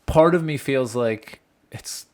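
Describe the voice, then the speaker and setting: A man's voice: mid-range voice, takes it slowly, a little mellow. A man co-hosting a podcast, thinking aloud in a reflective, casual way. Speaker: podcast co-host. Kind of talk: casual interview chat.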